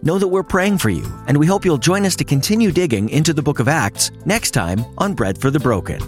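Man, program announcer, scripted outro, lively pitch, quiet music behind. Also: a little fast. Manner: smooth, warm, read from script. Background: soft music bed.

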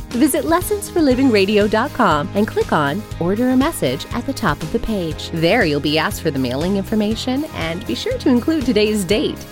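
A female radio announcer reading a scripted offer for program copies. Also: medium pitch. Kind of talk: scripted promotional read. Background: music bed.

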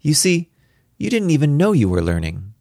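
A man's voice: a little high, huge pitch swings, normal speed, gravelly, warm tone, dry recording, clean studio sound, pitched above the performer's own voice, exaggerated voice. A man voicing a precocious four-year-old girl in a storybook reading, matter-of-fact, patient and superior. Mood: patient, exasperated.

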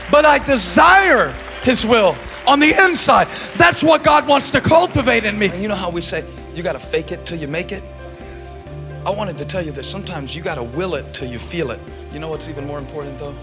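A man delivering a fervent sermon, his voice pitched high and leaping wildly up and down as he shouts. Music plays steadily behind him. Passionate, emphatic preaching. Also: gravelly.

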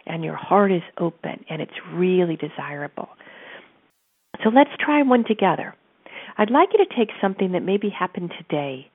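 A woman with a stuffy nose, calmly guiding listeners through an exercise from her office and speaking directly to them. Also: fairly low pitch. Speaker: host and coach. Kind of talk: guided teaching talk. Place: home office. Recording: narrow phone-like line.

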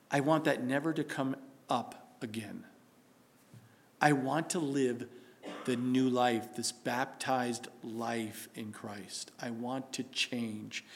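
A man preaching a sermon, building to loud emphatic stretches and dropping to a soft prayerful tone. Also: medium pitch.

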